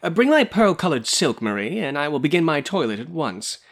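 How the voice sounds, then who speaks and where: A man reading a story aloud voices a spoiled, flighty rich young woman who gives orders in a raised, pitched-up voice. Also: big pitch swings, moderate pace, fairly bright tone. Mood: imperious.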